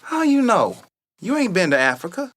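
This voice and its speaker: A sensitive, sulky younger brother, played by a man in a radio drama, quick to doubt. The voice is bright, fairly high and very animated in pitch. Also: no echo, clean studio sound, full character voice. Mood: skeptical. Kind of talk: radio play acting.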